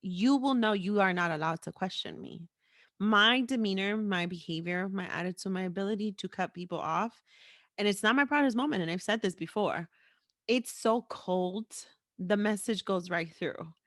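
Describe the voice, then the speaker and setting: A woman hosts a podcast in an easy conversational style. She is frank and teasing, with a playful streak, and her mid-pitched voice sits in a dry recording with very little room sound. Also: normal speed, fairly bright tone, no echo. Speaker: podcast host. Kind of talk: casual chat.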